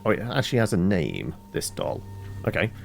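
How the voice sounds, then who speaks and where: A man with a low, gravelly voice hosts a casual game stream. He rambles and thinks aloud, chatting as he plays, and his pitch moves around freely. Music plays softly behind him. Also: huge pitch swings, normal speed. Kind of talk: casual let's play commentary.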